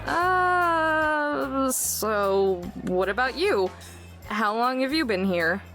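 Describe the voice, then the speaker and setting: A woman's voice: high voice, animated, extremely slow, a bit bright, soft background music, full character voice. A woman playing a reserved, wry, modest young road-tripper in a visual novel, with a dry delivery. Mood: hesitant.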